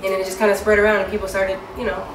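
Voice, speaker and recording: A woman singer giving a casual interview, talking in a deep, low speaking voice with many easygoing fillers. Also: very fast. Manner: relaxed and chatty, many fillers like "um" and "you know". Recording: echoey room, mic far off, clear background noise.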